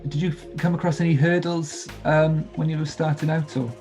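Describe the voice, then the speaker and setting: A man's voice: on the high side, level tone, brisk, a little mellow. A man interviewing a guest, asking questions hesitantly with fillers, a Welsh speaker. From Wales. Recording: faint music under the voice.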